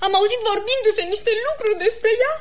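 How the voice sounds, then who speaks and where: An actress playing a young, lovelorn and jealous signorina in a theatrical radio comedy style. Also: female voice, very high-pitched. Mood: guarded. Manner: exclamatory, theatrical.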